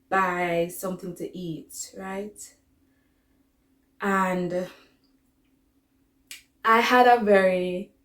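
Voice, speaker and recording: Young female vlogger, Caribbean accent, unhurried delivery, informal rambling storytime chat, recorded in an echoey room. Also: medium pitch. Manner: informal, digressive, conversational. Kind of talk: casual storytime chat to camera. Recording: echoey, roomy sound.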